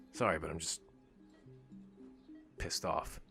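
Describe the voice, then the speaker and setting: A man's voice: rumbling low, gravelly, sharp tone, exaggerated voice. A man playing a bitter, stubborn, sarcastic injured teenage boy in an audio drama, sullen and grumbling.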